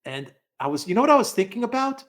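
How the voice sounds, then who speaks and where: A man's voice: very high voice, sing-song, fast talker, fairly bright tone. A man talking as a guest on a live stream, rambling, repeating words and laughing often. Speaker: guest commentator. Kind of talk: casual live-stream chat.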